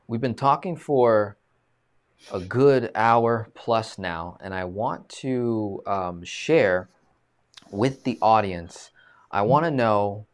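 A man in his thirties hosts a live video-call interview in a casual, animated way, leaning on fillers and restarts. His voice sits a little low. It is picked up by a webcam mic in a small room. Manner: animated, gestures a lot, many fillers. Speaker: host. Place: small room, plain green wall.

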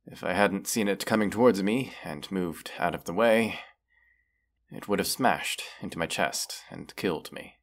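A man voicing a proud, menacing wounded dragon in a fantasy audio roleplay, speaking in a haughty, deliberate, archaic way. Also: low-pitched, vocal fry, full character voice. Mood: pained, bitter.

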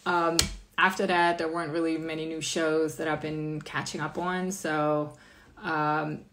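A woman's voice: deep voice, flat delivery, a little slow, noisy background. A woman in her thirties from the Netherlands with a slight American accent, hosting a casual live stream from a small room and answering viewer questions in an upbeat, chatty way, speaking into a phone held at arm's length. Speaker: live-stream interview host. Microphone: phone camera at arm's length.

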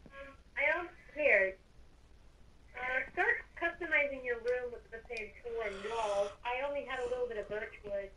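Female gamer, high and bright, casual chat, slightly distant noisy mic. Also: moderate pace. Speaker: player in a Minecraft roleplay. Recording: distant mic with clicks and noise.

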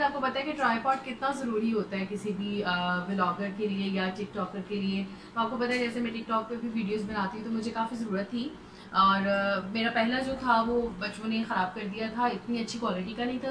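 A woman's voice: a little high, a bit bright, recorded from a distance. Woman, 30s, home vlogger chatting to a phone camera; echoey bedroom, light hum. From Pakistan. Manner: chatty, mixes English phrases in. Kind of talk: casual vlog to camera. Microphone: phone camera at close range. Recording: echoey room, faint background hum.

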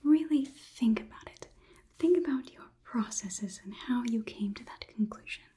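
Female AI overlord, played by a young woman: breathy clinical whisper, mellow, higher-pitched, politely condescending, ASMR roleplay. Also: lively intonation, close to an everyday voice. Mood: clinical, probing. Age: young adult. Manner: slow, soothing, clinical, patronizing. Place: small indoor room.

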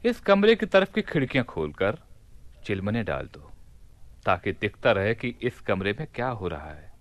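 An actor playing a commanding, mischievous caliph in a theatrical radio play. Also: male voice, fairly low pitch, big pitch swings, no room sound. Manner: imperious, theatrical, deliberate. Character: commanding, mischievous caliph planning a prank in his palace. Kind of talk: Hindi radio play acting.

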